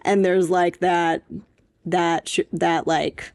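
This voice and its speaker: A woman's voice: fairly low pitch, little pitch movement, takes it slowly, darkish tone. A young woman co-hosting a podcast, giving her opinions in an informal, emphatic way. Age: young adult. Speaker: podcast co-host. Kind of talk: casual opinionated discussion chat.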